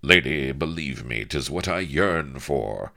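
A man's voice: extremely low pitch, expressive, slow, vocal fry, bright, forceful tone, heavily acted. A man playing a naive, gallant young naval officer in an audiobook, speaking with fervent, old-fashioned earnestness. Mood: eager, fervent. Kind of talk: audiobook character voice.

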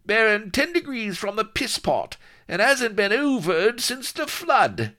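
A whiny blunt pirate sidekick for an audiobook, pushed up high, excitable and common. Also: male voice, unhurried, exaggerated voice. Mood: grumbling. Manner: colloquial, blunt, exclamatory. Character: coarse, grumbling, excitable male pirate sidekick.

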